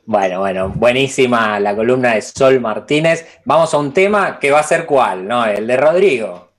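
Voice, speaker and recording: A man's voice: medium pitch, animated, normal speed. Easygoing man hosting a radio show. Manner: easygoing, affirming, wraps up segments. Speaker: radio host. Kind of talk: casual radio chat.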